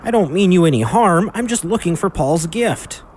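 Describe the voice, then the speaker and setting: Man voicing an anxious, high-voiced cartoonish ox. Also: animated, normal speed, slight background noise. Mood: earnest. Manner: anxious, pleading. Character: earnest, worried young male blue ox. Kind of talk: family radio drama acting.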